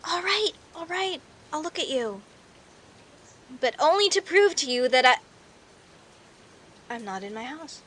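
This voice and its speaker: A woman's voice: high-pitched, unhurried, long pauses, full character voice. A woman playing a friendly, teasing young female werecat in a comedic audio roleplay, talking to herself expressively with hesitant stammers. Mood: startled, flustered. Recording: steady rain ambience noise behind voice. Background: rain ambience.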